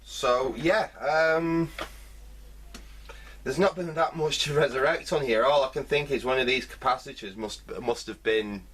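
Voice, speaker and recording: A man's voice: mid-range voice, unhurried, noisy background. A man giving a casual hands-on repair commentary, thinking aloud hesitantly in fragments. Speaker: host of a vintage electronics repair video.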